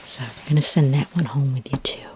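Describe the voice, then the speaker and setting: A woman doing a soft-spoken, half-whispered ASMR roleplay as a calm, reassuring skin care consultant, explaining gently and a little hesitantly. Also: extremely low pitch, fairly quick, background noise.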